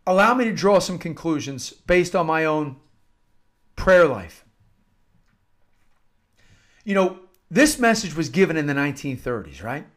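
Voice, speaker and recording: High-voiced American man preaching earnestly. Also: big pitch swings, measured pace, pauses often. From United States. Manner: earnest, emphatic, repeats phrases for emphasis. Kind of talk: informal devotional talk with scripture-like readings.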